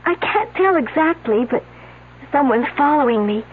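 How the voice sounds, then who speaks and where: A frightened young female student stammers and hesitates in a high, thin voice. Her words come haltingly. It is heard through a narrow, phone-like old radio recording. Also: expressive, a little hiss or hum. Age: young adult. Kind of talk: 1970s radio drama acting.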